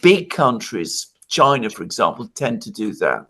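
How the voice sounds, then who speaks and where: A man from Greece talks through his views in an easy, conversational way. His voice sits at a middle pitch. He gets animated, often restarting phrases and laughing lightly between points. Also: moderate pace, husky. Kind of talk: casual analytical discussion.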